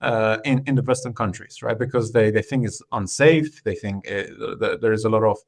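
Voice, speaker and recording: A man from Iran, a podcast guest, talking in an unhurried, conversational way with frequent fillers and restarts. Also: fairly low pitch, creaky. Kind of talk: conversational interview.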